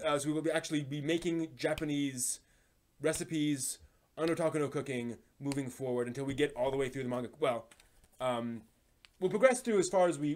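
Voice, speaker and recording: A man's voice: medium pitch, darkish tone. Male live stream host, mid-range voice, informal and hesitant, chatting loosely to viewers. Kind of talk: casual live stream chat.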